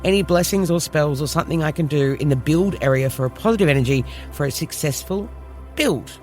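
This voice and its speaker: A man co-hosting a chatty podcast, with a voice that climbs and falls a lot as he jokes and reads out messages. He sits a little high in pitch for a man. Faint music plays behind him. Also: expressive, a little fast. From New Zealand. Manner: playful, reads listener messages aloud. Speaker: podcast co-host. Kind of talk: casual podcast chat.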